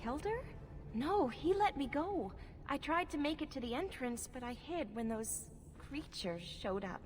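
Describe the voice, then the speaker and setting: A very high-pitched, frightened elf girl pleads in halting phrases, as in a dramatic fantasy game. Her voice rings in long hall reverb with music behind it. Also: animated. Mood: tearful, defending. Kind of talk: video game dramatic acting.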